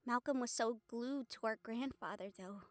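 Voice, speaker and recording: A woman playing a troubled, emotive newborn vampire and former hunter in an audio roleplay, speaking with sighs and pauses. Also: on the high side, no room sound, clean studio sound, lightly acted. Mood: wistful, subdued. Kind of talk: dramatic audio roleplay acting.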